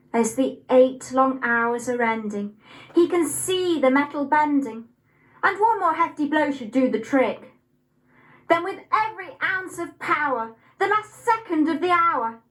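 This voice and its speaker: Casting: young English woman, high-pitched voice, expressive poetry reader, unhurried and deliberate delivery. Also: rough recording. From Nottingham, England. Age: young adult.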